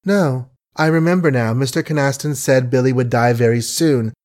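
A man voicing a dazed, weary young woman who speaks in a soft, drifting, oddly detached way. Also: mid-range voice, normal speed, lightly acted.

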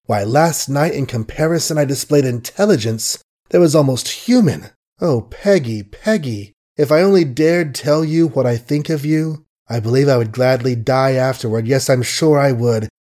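A man voicing a lovesick young gentleman who berates himself in impassioned, desperate outbursts in an audiobook. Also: mid-range voice, moderate pace, lightly acted. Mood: rueful. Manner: impassioned, desperate, self-mocking. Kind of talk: audiobook character voice.

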